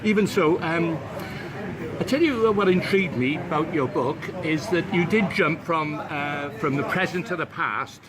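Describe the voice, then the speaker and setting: An older man from Wales chats with a slightly high voice, full of ums, uhs and quick laughs. He talks outdoors at a street bar. A crowd murmurs clearly behind him. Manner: chatty, laughs often, many ums and uhs. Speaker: interviewer. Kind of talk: casual chat.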